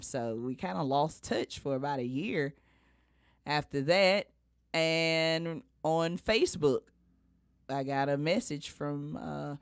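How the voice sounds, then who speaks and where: A man co-hosts a podcast in a clean, smooth voice. He takes his time over casual stories, chuckling here and there. The sound is close and dry. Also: a little high, no echo. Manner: often chuckles while talking. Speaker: podcast co-host, audio engineer. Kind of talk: casual chat, storytelling.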